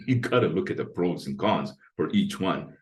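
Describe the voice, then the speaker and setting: Deep-voiced man in his sixties. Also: expressive, normal speed. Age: 60s. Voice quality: deep bass voice. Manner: talkative, explains with lists and asides. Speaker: interview guest. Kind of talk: casual interview talk.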